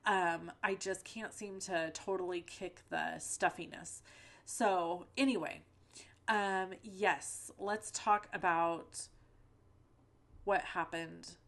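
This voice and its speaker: A middle-aged woman chats her way through a crafting tutorial recorded at home, wandering into personal asides and filling pauses with ums and likes. Her voice sits a bit low for a woman and sounds slightly stuffed up from the tail end of a cold. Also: fairly even intonation, fairly bright tone. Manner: rambling, conversational, many fillers and asides. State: a little stuffy-nosed from a lingering cold. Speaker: card-making channel host. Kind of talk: chatty crafting tutorial voice-over with personal stories.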